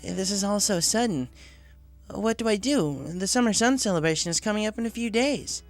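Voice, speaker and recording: A man's voice: high-pitched, animated, normal speed, faint music behind, pitched above the performer's own voice. A man voicing a flustered, self-doubting young female pegasus pony in a story, with hesitant, stammering delivery. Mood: stunned, anxious.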